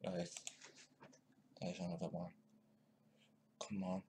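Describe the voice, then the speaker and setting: A man's voice: low voice, big pitch swings, takes it slowly, creaky, dark tone, slight background noise. A man giving casual, mocking commentary while editing clips, often muttering to himself. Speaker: video maker commenting on news clips.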